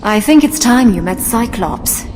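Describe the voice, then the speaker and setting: An actress voicing a brisk, businesslike female mutant ally in a video game. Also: fairly high pitch, expressive, a little mellow, a little hiss or hum. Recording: game audio with long reverb and faint music.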